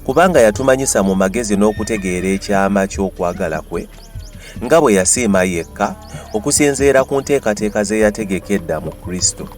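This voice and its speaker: A man's voice: on the low side, lively intonation, fast talker. A man with a Ugandan accent reads scripture aloud as a scripted narration. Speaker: Bible narrator. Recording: music behind the voice.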